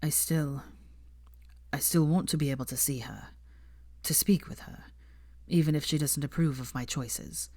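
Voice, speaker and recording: A woman voicing an anxious young man, speaking low and breathy. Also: moderate pace, pauses often, dark tone, no room sound. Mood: troubled. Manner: low, subdued, breathy delivery.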